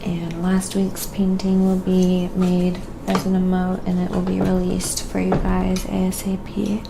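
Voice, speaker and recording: Soft-spoken, unhurried woman with creaky voice. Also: fairly low pitch, monotone, background noise. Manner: soft-spoken, murmuring to herself between remarks. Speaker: live-stream painting host. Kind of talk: quiet casual ASMR painting stream chat.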